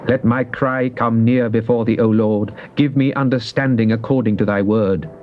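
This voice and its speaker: An actor playing a devout psalmist in a solemn, measured, theatrical Bible reading. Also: male voice, medium pitch, steady tone, natural voice. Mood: earnest, pleading. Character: devout psalmist pleading and praising God. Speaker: scripture reader. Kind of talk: dramatized King James Bible reading. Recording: dull, noisy old cassette tape.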